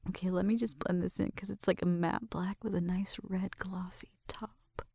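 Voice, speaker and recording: Breathy, whispery flirty roommate played by a woman. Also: on the low side, fairly even intonation, moderate pace, no room sound, clean studio sound. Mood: gentle, focused. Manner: soft whispering mixed with murmured talk, shy and teasing. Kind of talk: ASMR roleplay, intimate one-sided conversation.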